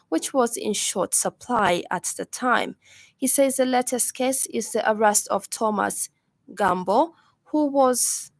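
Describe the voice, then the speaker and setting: A woman's voice: mid-range voice, dry recording. Zambian woman reading radio news, dry studio sound. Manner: formal. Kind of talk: scripted news bulletin reading.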